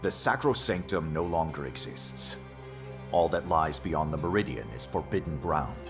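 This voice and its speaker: Deep, grave older king. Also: lively intonation. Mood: stern. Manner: regal, measured, grave. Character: dignified, stern older king, a father. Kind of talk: video game cutscene acting. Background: music.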